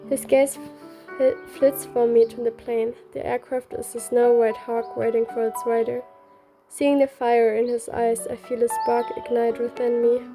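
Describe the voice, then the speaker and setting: A woman's voice: fairly high pitch, level tone, normal speed. A woman reading a scripted story aloud as narrator, also taking the heroine's lines. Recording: background music.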